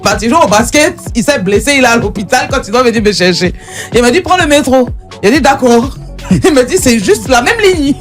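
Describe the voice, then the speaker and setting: Lively storytelling woman on radio. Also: on the high side, a little fast, faint music behind. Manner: lively, laughs often, tells stories. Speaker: radio show guest. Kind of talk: casual radio chat.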